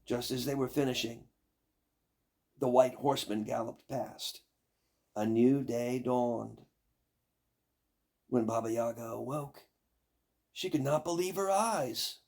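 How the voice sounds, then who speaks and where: An older man with a gravelly, raspy voice reading a fairy tale aloud in a measured, expressive storytelling manner. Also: mid-range voice. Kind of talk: scripted fairy tale narration.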